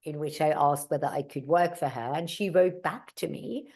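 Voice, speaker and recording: Low-voiced chatty woman, German native. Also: moderate pace, a little mellow. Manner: chatty, with many fillers and asides. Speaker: mountaineer and guest. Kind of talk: casual interview storytelling.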